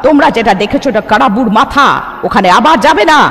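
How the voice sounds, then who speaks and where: A man's voice: extremely high pitch, fast talker, fairly bright tone. A man voicing a stern old village aunt in a horror cartoon, forbidding and full of grim warnings. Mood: ominous warning. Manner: ominous, forbidding. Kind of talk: animated horror cartoon acting. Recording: long hall reverb over music.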